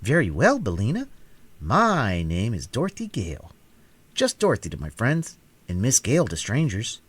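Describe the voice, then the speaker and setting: Storybook young country girl, played by a man: proper, insistent, very lively pitch, dry recording. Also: normal speed, breathy edge, no echo. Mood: earnest. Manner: earnest, prim, insistent. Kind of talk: storybook character voice in audiobook reading.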